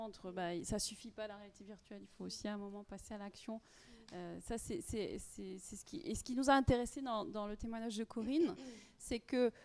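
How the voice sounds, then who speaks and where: A woman's voice: on the high side, a little mellow. A woman moderating a panel discussion, speaking with frequent fillers and repeated words. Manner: hesitant, repeats words, fills gaps with "euh".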